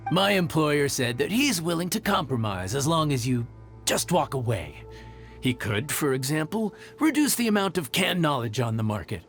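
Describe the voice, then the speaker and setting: A man's voice: a little high, big pitch swings, normal speed, a bit bright. A man voicing a smug, mocking male smuggler henchman in a video game, with a taunting, sneering delivery. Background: game music.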